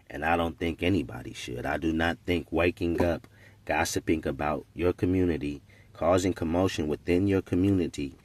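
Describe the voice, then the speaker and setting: Very deep-voiced preachy man in his forties. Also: dry recording. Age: 40s. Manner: earnest and preachy, often tags "right?". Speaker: podcast commentator. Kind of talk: unscripted spoken monologue.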